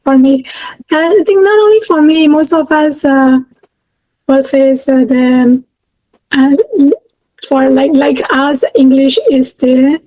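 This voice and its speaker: A woman's voice: high-pitched, steady tone, slow, mellow, no room sound, poor audio. A woman in her thirties from Myanmar with a Burmese accent, answering interview questions hesitantly with many fillers and restarts over a video call. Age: 30s. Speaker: guest. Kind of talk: casual interview.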